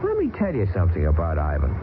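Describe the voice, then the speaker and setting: A man's voice: low voice, wildly swooping pitch, fast talker. An actor playing a wisecracking, girl-crazy military pilot in an old radio drama, bantering playfully with his partner. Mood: playful teasing. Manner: joking, bantering, playful swagger. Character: wisecracking male flyer nicknamed Chappie, girl-crazy joker. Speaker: military pilot on arctic patrol. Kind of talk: 1970s radio drama acting. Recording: old radio broadcast, hissy lo-fi.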